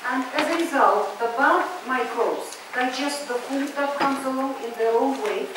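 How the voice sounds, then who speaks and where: A woman giving a lecture in short measured sentences, pausing after each one, recorded from far off in a lecture hall. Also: sits high, background noise. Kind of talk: lecture delivered in short phrases for an interpreter. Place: lecture hall at a business school.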